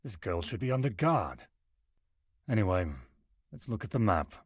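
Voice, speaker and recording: A man playing a weary, practical travelling merchant in a visual novel, with a husky voice and a wry, muttering manner. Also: fairly low pitch, big pitch swings, moderate pace, dry recording, studio quality, exaggerated voice. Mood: musing. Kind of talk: visual novel character acting.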